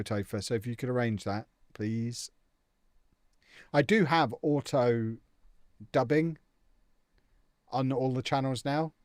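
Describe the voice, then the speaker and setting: A man's voice: medium pitch, darkish tone, no room sound, clean studio sound. Chatty man streaming a game. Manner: chatty, jokey, answers viewers' comments. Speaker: live streamer playing a football management game. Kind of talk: casual live-stream chat.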